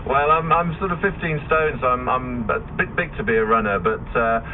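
A man's voice: mid-range voice, a little fast. Man, forties, self-deprecating interviewee, slightly off-mic, phone-like audio with faint noise. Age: 40s. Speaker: interview guest, endurance runner. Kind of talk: casual radio interview answers. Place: radio studio. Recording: distant, phone-like radio recording, faint noise.

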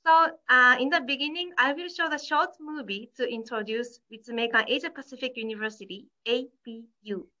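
A woman's voice: high voice, fairly quick, hardly any pauses, sharp tone. A young woman giving an informal online presentation as a university representative, heard over a video call. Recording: dull lo-fi video call audio.

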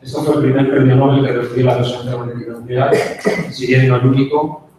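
A man in his sixties with an accent from Spain, giving a conference lecture in a measured way with hesitant pauses. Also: mid-range voice, little pitch movement, a little mellow. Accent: Spanish from Spain. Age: 60s. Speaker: guest lecturer, chemist. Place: university auditorium, indoors. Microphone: handheld mic, picked up by distant camera. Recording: echoey hall from far off, faint noise.

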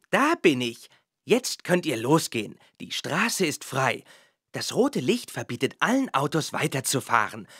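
An actor voicing an easygoing little green traffic-light man in a kids' game, husky and friendly. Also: a little high, fairly bright tone, no room sound, clean studio sound, full character voice.

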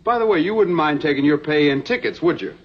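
An actor playing a genial, sly young American promoter in a TV western, with a smooth salesman's patter. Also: fairly high pitch, expressive, quick pace, natural voice. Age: young adult. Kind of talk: 1960s TV western acting. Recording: dull old television soundtrack.

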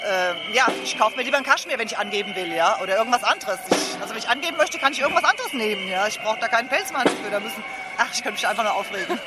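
A woman's voice: on the high side, lively intonation, rapid-fire. A woman speaking emphatically and indignantly as a passer-by in a street interview. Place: outdoors at a street demonstration. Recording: music behind, outdoor street interview.

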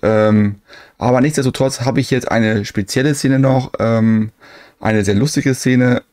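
Mellow-voiced man narrating a collector vlog. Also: medium pitch, no room sound, studio quality. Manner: chatty, hesitant, full of "äh" and "ähm" fillers. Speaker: retro game collector vlogger.

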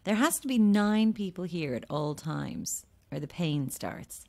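A woman's voice: on the low side, sing-song, unhurried, creaky, dark tone, exaggerated voice. An actress playing a sardonic, world-weary woman trapped at an endless cursed party, dry and blunt, in an audio drama. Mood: ominous, weary.

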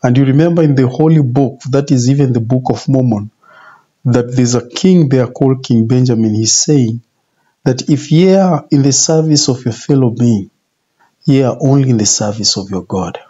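A man in his forties giving an informal sermon in a deliberate, repetitive, emphatic way. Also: medium pitch, warm tone, breathy edge. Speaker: religious video presenter. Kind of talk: informal sermon, retelling scripture. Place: small indoor office. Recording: muffled, dull home video audio.

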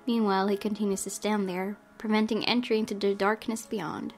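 A woman plays through a story game, reading it aloud and reacting as she goes. Her voice is medium-pitched and expressive, with a fretful edge. Game music sits faintly behind her. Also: a little fast. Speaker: gaming video host. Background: background game music.

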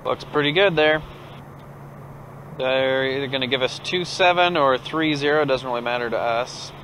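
Casting: male American pilot, mid-pitched, casual flight vlogger with clipped radio calls, clear engine noise behind. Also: moderate pace, poor audio. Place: cockpit of a small turboprop plane in flight. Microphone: aviation headset. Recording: steady aircraft drone behind headset audio.

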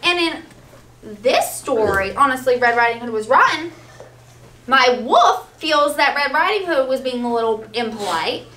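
A woman with a high, bright voice leads a read-aloud lesson for young children. She is animated, checking in often with questions. The recording is roomy, picked up from a distance. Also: a little slow. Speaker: elementary school teacher. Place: school classroom, children seated on the floor. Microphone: distant camera. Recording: roomy, distant, faint classroom noise.

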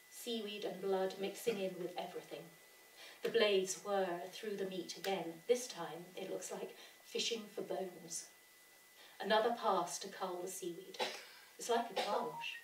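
Female author, dramatic and deliberate story reading, roomy stage sound with audible hiss. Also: medium pitch. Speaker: author reading at a literary event. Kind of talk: dramatic reading of prose fiction. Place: on a theatre stage. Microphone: gooseneck lectern microphone. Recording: roomy theatre, distant, hissy.

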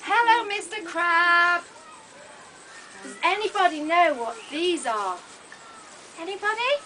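Very high-pitched woman telling a children's story. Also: lively intonation, slow, sharp tone. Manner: exaggerated, questioning, addressing children in simple phrases. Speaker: costumed storyteller narrating a children's puppet show. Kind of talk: animated storytelling to young children. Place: open-sided thatched hall, on a small stage. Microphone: camera some metres away. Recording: noisy open-hall recording, camera at a distance.

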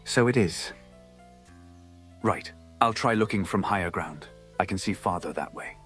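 A man voicing a gruff half-wolf knight in a comic dub, earnest and dim-witted, with solemn, old-fashioned phrasing. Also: low voice, a little slow, fairly bright tone, faint music behind. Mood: stern, businesslike. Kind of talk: dramatic comic dub acting.

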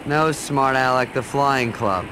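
A man's voice: mid-range voice, measured pace, background noise, close to an everyday voice. A man playing a small, cocky, sarcastic dragonfly sidekick in a video game, with a mouthy, teasing manner. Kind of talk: comic video game cutscene acting. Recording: music behind the voice.

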